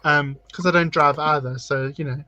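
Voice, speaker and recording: A man's voice: fairly high pitch, fairly even intonation, normal speed, over a noisy crowd. A man from South Africa hosting a casual drag livestream, chatty and camp, reading out viewer comments. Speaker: drag queen host. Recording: dull lo-fi sound, dry.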